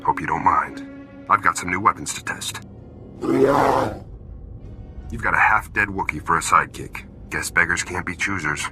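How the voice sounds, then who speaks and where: An actor playing a menacing, swaggering bounty hunter, taunting and smug, in a video game. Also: male voice, deep voice, normal speed, long pauses. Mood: smug menace. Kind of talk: video game character acting. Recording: music under the voice.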